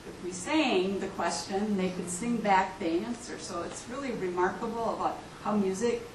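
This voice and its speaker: A woman shares reflective thoughts in a group discussion, speaking earnestly. She sounds distant in an echoey room. Steady noise runs underneath. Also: medium pitch, normal speed. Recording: echoey room from far off, noisy.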